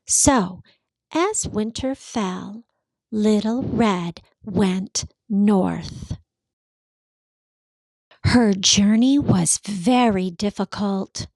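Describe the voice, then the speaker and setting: Very slow, high-voiced woman reading to children. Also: vocal fry, no room sound. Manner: expressive, deliberate, simple phrasing for children. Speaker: host of children's read-alouds. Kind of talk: storybook read-aloud for young children.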